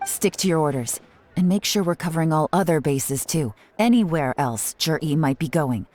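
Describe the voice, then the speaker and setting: A woman's voice: deep voice, animated, normal speed, darkish tone. A woman playing a cool, shrewd intelligence officer in a video game, composed and commanding with dry confidence. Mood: commanding, confident. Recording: music behind the voice.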